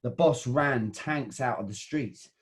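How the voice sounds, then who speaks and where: A man's voice: mid-range voice, a little slow, natural voice. An actor playing a rowdy football hooligan who swears and stammers as he tells the story. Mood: boastful. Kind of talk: satirical audio drama.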